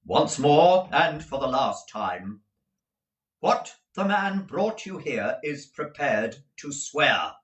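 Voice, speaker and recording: A man voicing a stern, blustering middle-aged male lawyer who talks in a booming, domineering way. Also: big pitch swings, slow, fairly bright tone. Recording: roomy audio from a distant mic.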